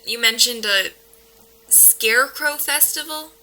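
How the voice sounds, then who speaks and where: Female paranormal investigator, skeptical and wry, higher-pitched, very crisp bright tone, audio drama. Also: takes it slowly, heavily acted, poor audio. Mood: joking. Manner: dry, joking, matter-of-fact. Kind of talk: naturalistic audio drama acting.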